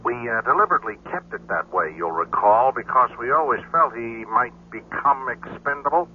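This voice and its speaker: A man's voice: medium pitch, lively intonation, brisk, close to an everyday voice. Actor as cool male agent, muffled radio sound. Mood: cool, concerned. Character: cool, efficient secret agent reporting to a superior. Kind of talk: 1970s radio drama acting. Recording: muffled vintage radio, faint hum.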